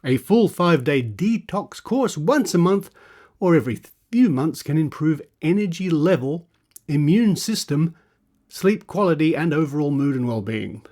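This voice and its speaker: A man's voice: on the high side, animated, moderate pace, a little mellow. A man hosting a podcast, reading out adverts and adding dry, sarcastic asides. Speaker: podcast host.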